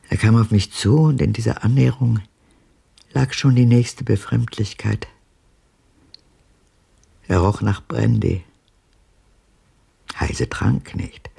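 An older actress playing a haunted old woman in a radio drama, speaking haltingly in a hushed, husky, gravelly, breathy voice. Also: very deep voice, heavily acted. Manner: halting, hushed, deliberate delivery. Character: haunted old woman recalling her father's abuse, halting.

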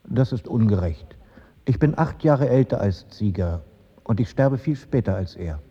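An actor playing an aggrieved dead avant-garde filmmaker in a German radio drama. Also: male voice, fairly low pitch, expressive, moderate pace, warm tone, breathy edge. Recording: muffled, long reverb. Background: music.

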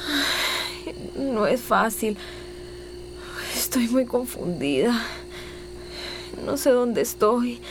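High-voiced distraught young migrant woman. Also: expressive, background noise, full character voice. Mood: grieving, confused. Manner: halting, tearful, gasping. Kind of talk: radio drama acting. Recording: music behind the voice.

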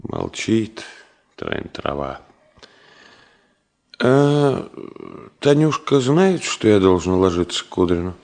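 A man's voice: lowish voice, sing-song, slow pace, pauses often, a little mellow, full character voice. A man playing a middle-aged engineer father in a radio drama, tender and wry, speaking in short, wistful, joking phrases. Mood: subdued. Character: ailing engineer father, tender, wry, hiding his worry. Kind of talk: Soviet radio drama acting.

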